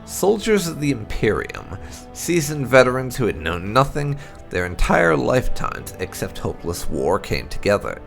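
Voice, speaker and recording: A man reading fantasy lore aloud as dramatic narration, in a deliberate storyteller's delivery. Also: mid-range voice, sing-song, gravelly. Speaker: gameplay channel host. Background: music.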